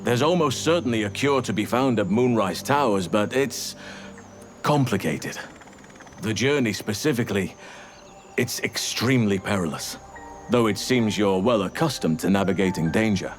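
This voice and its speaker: A solemn elf druid warrior from a fantasy game, acted by a man. His voice sits fairly low and moves with measured, earnest gravity. Faint music plays behind him. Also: lively intonation, lightly acted. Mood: grave, warning. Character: grave, earnest male elf druid warrior offering counsel. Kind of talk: video game dialogue acting.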